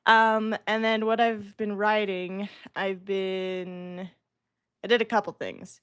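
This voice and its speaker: A woman's voice: medium pitch, little pitch movement, slow, clear, resonant tone, no room sound, studio quality. A woman writer chatting casually on a livestream, talkative and self-deprecating, with a giggly way of speaking. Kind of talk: casual chat.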